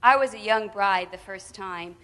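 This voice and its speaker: A woman delivering a prepared spoken reflection, deliberate and solemn, in poetic phrases. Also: mid-range voice, bright, forceful tone. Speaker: speaker telling a personal faith story. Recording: long hall reverberation.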